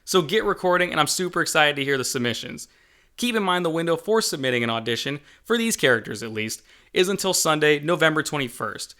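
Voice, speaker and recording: A man's voice: fairly high pitch, lively intonation, quick pace, pushed and bright. A man hosting as a game developer, giving upbeat scripted video narration with an enthusiastic, jokey manner. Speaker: game developer and channel host.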